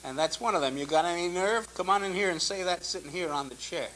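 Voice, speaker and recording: A man hosting a live call-in show, gruff and dismissive as he fields his callers in an exasperated way. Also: high-pitched, quick pace, fairly bright tone. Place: public-access TV studio. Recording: buzzy, noisy public-access TV audio.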